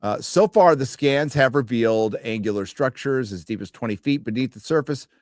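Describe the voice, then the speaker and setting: A man co-hosting a casual podcast, reading articles aloud slowly and joking with the others. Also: mid-range voice, animated, dry recording, clean studio sound. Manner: reads aloud slowly, jokes and trails off. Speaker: co-host.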